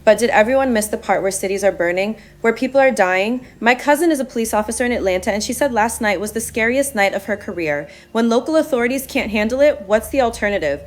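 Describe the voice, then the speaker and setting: A woman's voice: fairly high pitch, lively intonation, fast, few pauses, natural voice. A woman playing a firm, impatient law-and-order caller to a talk radio show. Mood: firm, dismissive. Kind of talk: radio call-in drama acting.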